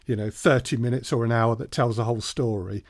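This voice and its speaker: A man's voice: medium pitch, steady tone, fairly quick, no echo, studio quality. A man co-hosting a workshop in casual conversation, putting questions and prompts to a fellow speaker. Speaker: workshop co-host and interviewer.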